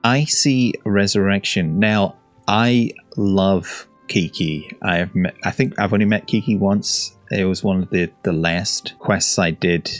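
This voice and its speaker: Brief: guy hosting a reaction video, low voice, rambling and jokey, dry sound. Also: a little slow, hardly any pauses, no room sound, soft background music. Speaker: gaming reaction host. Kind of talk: casual reaction commentary.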